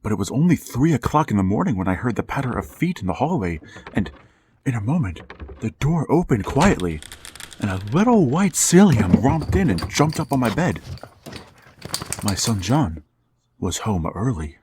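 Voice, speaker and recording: An actor playing an aging father in a wheelchair, narrating a radio horror drama in a slow, halting, hushed manner. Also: mid-range voice, big pitch swings, a little hiss or hum, natural voice. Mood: haunted. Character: older man father in a wheelchair, haunted, resigned narrator. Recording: music bed under the voice.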